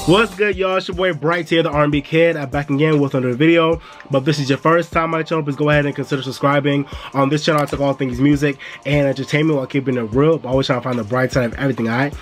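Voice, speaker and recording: A man's voice: a little high, fairly even intonation, racing, few pauses, soft background music. A man hosting a casual review vlog, laid-back and chatty, calling his viewers "y'all". He sounds a bit stuffy because he is coming down with a cold. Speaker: music and entertainment YouTuber.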